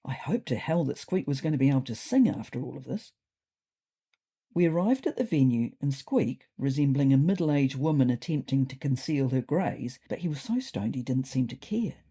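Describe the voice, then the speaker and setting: A woman's voice: rumbling low, expressive, normal speed, dark tone, husky, full character voice. A woman voicing a wry, self-important male cat telling his diary in a dry, mock-serious way for a comedy story.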